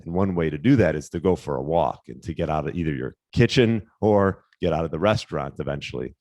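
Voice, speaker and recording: Deep-voiced, slightly hoarse podcast host. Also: male voice, fast talker, no room sound. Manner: relaxed, rambling with fillers and restarts. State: slightly hoarse, losing his voice. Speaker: podcast host, fitness coach. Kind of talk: casual solo podcast tips.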